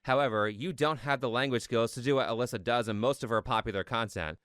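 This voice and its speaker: A man's voice: medium pitch, fast, a bit bright, no room sound, studio quality. A man narrating scripted YouTube commentary in a blunt, critical way.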